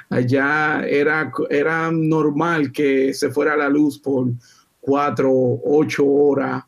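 A man with a slightly high voice, speaking calmly and agreeably as he recalls a memory. His delivery is relaxed and conversational. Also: gravelly. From Dominican Republic. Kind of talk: casual chat.

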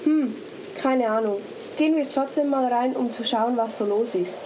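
A woman's voice: a little high, normal speed, noisy background, natural voice. A teenage girl with a Swiss German accent playing an adventurous girl in a student radio play. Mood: curious. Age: teens.

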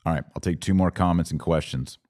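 A man's voice: low voice, fast talker, a little mellow, dry recording, clean studio sound. A man hosting a live podcast, reading and answering viewer comments in emphatic, punchy bursts of short phrases. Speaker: podcast host.

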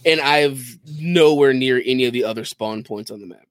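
A man's voice: mid-range voice, moderate pace, no echo, clean studio sound. A man talking casually as a podcast co-host, explaining things with enthusiasm. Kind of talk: casual chat about video games.

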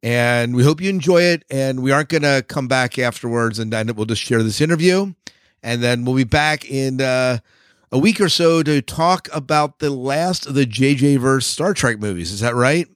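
A man's voice: medium pitch, normal speed, dry recording, studio quality. A man hosting a podcast in a casual, chatty co-host style.

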